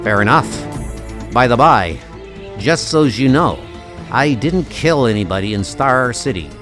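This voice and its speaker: Mercenary supervillain, male actor, comic dub: cocky, colloquial, taunting, very lively delivery over music. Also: mid-range voice, measured pace. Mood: cocky, jaunty. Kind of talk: comic-book audio drama dub. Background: loud music.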